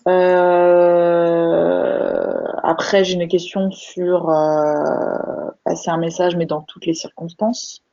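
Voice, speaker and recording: A woman moderates a webinar, slowly reading audience questions aloud with frequent hesitations. Her voice is mellow and the sound comes through a muffled computer microphone. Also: on the low side, little pitch movement, vocal fry. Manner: hesitant, many fillers.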